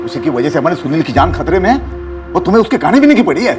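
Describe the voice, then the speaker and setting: An actor playing a gruff, irritable uncle in a TV crime drama. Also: male voice, fairly high pitch, big pitch swings, very fast, recorded from a distance. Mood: irritated. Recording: off-mic with clear background music.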